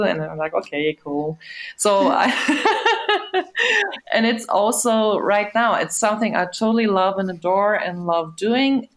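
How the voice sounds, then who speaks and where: Relaxed giggly woman translator. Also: medium pitch, vocal fry. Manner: relaxed, giggly, many fillers. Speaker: guest translator. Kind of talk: casual conversation.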